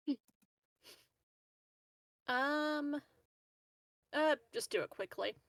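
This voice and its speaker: A woman playing a tabletop role-playing game, casual and halting as she thinks aloud. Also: sits high, a bit bright, no echo.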